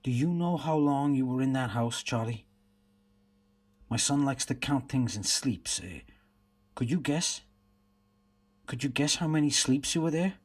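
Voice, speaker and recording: A man playing a weary, patient detective in a radio play, with a husky voice. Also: medium pitch, lively intonation, unhurried, long pauses. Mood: gentle, coaxing. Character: weary male detective and father, patient questioner. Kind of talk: radio drama dialogue.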